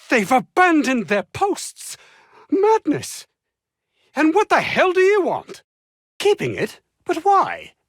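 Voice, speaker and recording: An actor playing a haughty, anxious, ambitious lead scientist in a video game, with formal, pompous, fussy diction. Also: male voice, high voice, fairly bright tone, no room sound, studio quality, heavily acted. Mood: exasperated, indignant. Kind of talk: scripted video game character dialogue.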